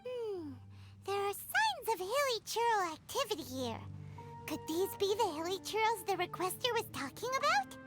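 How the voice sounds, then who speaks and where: A woman voicing a tiny, childlike girl fairy sidekick in a video game, chirpy, naive and excitable, with a squeaky voice. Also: moderate pace. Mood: curious. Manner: refers to self in third person, exclamatory. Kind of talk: video game character dialogue. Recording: game audio with prominent music. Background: loud game music.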